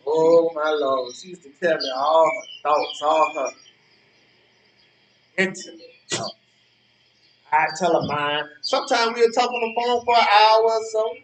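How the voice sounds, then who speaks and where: A man's voice: fairly high pitch, a little slow, pauses often, roomy sound, a little hiss or hum, natural voice, poor audio. A man playing a smug old man in a stage play, needling his rival with mock-genteel, theatrical taunts. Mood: smug, suggestive.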